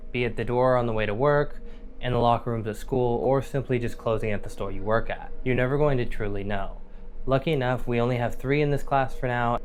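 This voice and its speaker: A man's voice: mid-range voice, a little hiss or hum. A man narrating a scripted survival guide in a conversational, explanatory way. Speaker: narrator and host. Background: background music.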